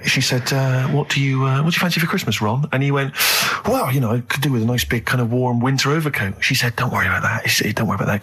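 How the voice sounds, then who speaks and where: Male comedy radio co-host, quick and husky. Wry, articulate raconteur trading banter. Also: medium pitch, a bit bright. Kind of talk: comic radio banter and anecdotes.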